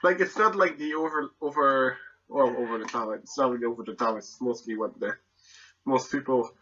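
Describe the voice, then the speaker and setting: A man's voice: mid-range voice, fairly quick, sharp tone, breathy edge, highs cut off. A young man, chatting casually as a podcast guest, hesitating and repeating his words. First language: Spanish and French.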